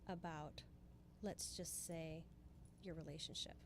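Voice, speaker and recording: A woman's voice: deep voice, steady tone, slow pace, background noise. A woman from Hawaii giving earnest, emphatic motivational explanations as a guest in a conversational interview. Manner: earnest, emphatic, speaks in repeated phrases. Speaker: spiritual guest being interviewed.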